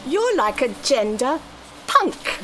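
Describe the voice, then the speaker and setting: A woman's voice: high-pitched, very animated, takes it slowly, heavy background noise, full character voice. A woman acting a blunt, self-deprecating, flirty divorced woman in a script table read, with a sardonic manner. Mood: flirty, teasing.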